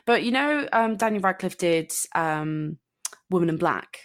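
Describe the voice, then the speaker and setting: A woman co-hosting a book podcast in casual chat, her deep voice croaky from a cold, chatty and self-deprecating. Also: lively intonation, unhurried. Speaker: podcast co-host.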